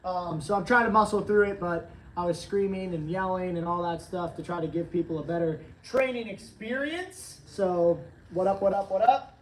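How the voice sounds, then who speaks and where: Hoarse man hosting a roomy livestream. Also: high voice, moderate pace, hardly any pauses, slight background noise. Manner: upbeat, rambling, reads chat aloud. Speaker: livestream host, training company staff. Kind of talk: casual livestream chat. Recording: roomy, distant from the mic.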